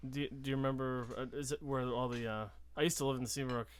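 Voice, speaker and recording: A woman co-hosting in casual comic chat, acting out quotes and swearing, with an animated delivery. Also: a bass voice, quick pace, clear, resonant tone.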